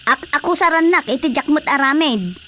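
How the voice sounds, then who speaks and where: A woman playing an excitable, complaining village gossip in a Filipino radio comedy drama, with a Filipino accent. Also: sits high, a little fast, a little hiss or hum. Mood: indignant reporting.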